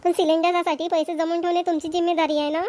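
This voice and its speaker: A woman's voice: very high voice, little pitch movement, fast talker, a little mellow, dry recording. A woman playing a practical, nagging village wife and mother in a cartoon comedy, with a Vidarbha Marathi accent. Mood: indignant.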